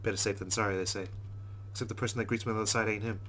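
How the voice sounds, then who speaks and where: A man with a fairly low voice reads visual novel narration at a brisk clip. He is wry and conversational, like a host playing through a game, and there is a light hum and noise behind him. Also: steady tone, sharp tone. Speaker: let's play host. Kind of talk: reading game narration aloud with casual asides.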